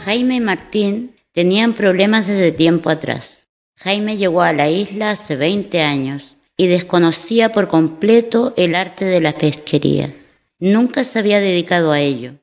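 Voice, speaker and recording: A woman's voice: lowish voice, lively intonation, measured pace. A woman narrating a radio drama from a script, as a storyteller. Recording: light hall reverb with music underneath.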